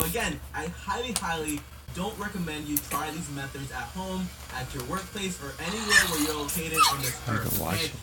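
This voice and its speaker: A man's voice: high-pitched, very noisy. A man presenting a YouTube video, repeating earnest warnings and asides to viewers. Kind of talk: scripted video intro. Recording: clip played from far off under loud music.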